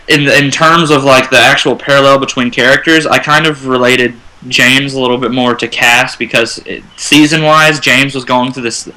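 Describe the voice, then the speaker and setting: Bright-voiced man in casual discussion. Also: mid-range voice, rough recording. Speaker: panelist on a fan radio show.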